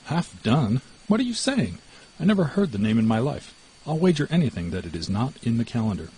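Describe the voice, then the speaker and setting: A man's voice: medium pitch, big pitch swings, a little fast, mellow, husky, poor audio. A man voicing a small, trusting female mouse in a storybook, earnest, wondering and given to exclaiming. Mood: astonished. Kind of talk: storybook character voice.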